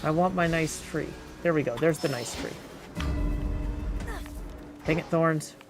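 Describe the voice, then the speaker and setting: A man's voice: on the high side, a little slow, music in the background. A man giving casual gameplay commentary, talking to himself as he plays. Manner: talks aloud to himself while playing. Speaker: video game streamer.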